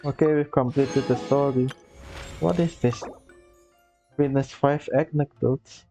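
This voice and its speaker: A man's voice: mid-range voice, steady tone, a little slow, gravelly, mellow. A man giving casual gameplay commentary, thinking aloud half to himself as he plays. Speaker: gameplay streamer. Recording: dull, lo-fi recording. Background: game music.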